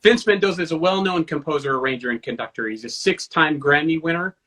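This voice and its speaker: Male host, somewhat high voice, warm fan energy, self-deprecating wit. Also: quick pace. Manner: enthusiastic, self-deprecating humor. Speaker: interview host. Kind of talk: casual interview chat. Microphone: video call.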